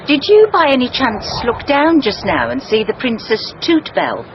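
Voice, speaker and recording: Vain desert fairy with swooping voice. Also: female voice, fairly high pitch, huge pitch swings, normal speed, muffled recording, a little hiss or hum. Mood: coy probing. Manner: coquettish, probing, theatrical. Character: vain, jealous older female desert fairy in love. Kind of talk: audio fairy-tale drama acting.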